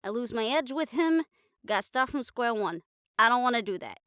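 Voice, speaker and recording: A woman playing a caring, blunt, teasing young girlfriend in an improvised audio roleplay, chatting casually and haltingly to the listener. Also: fairly high pitch, lively intonation, fairly bright tone, no echo, clean studio sound. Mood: playful, blunt. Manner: casual, halting, playful swearing, affectionate teasing.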